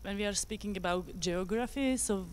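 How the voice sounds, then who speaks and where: A woman's voice: mid-range voice, moderate pace, clean tone. A woman from Slovakia, a dancer answering audience questions in English, hesitant and searching for words. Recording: reverberant hall.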